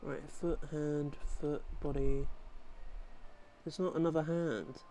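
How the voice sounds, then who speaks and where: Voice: medium pitch, fairly even intonation, slow, warm tone, a little hiss or hum. A gaming host giving casual gameplay commentary, thinking aloud through each step.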